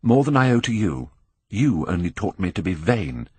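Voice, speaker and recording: A man voicing a vain, callous young gentleman in an audiobook, British, careless and petulant in manner. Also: deep voice, very animated, moderate pace, breathy edge, no room sound, full character voice. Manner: careless, petulant, self-possessed. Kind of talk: audiobook character acting.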